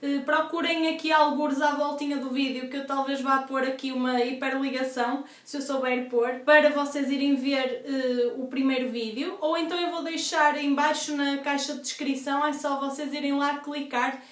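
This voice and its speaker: A woman's voice: sits high, fairly even intonation, normal speed, hardly any pauses, a bit bright. Young Portuguese woman vlogger, congested and chatty, recorded a bit far off in a roomy space. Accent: European Portuguese. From northern Portugal. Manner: chatty, explanatory, many fillers. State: congested from asthma. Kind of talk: casual Q&A vlog. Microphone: device camera at a distance. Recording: echoey room, voice off-mic.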